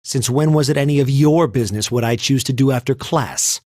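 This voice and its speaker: A man's voice: medium pitch, brisk, a little mellow, dry recording, lightly acted. An actor playing a stiff, proud and resentful young male student in a video game, speaking curtly and formally. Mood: cold, offended. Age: young adult. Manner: curt, formal, haughty. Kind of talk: English anime-style game voice acting.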